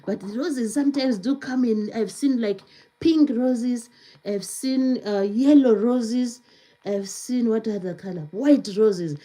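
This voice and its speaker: A woman's voice: fairly high pitch, slow pace, dark tone. A woman hosting a live stream, chatting casually, reading viewer comments aloud, repeating words and laughing often.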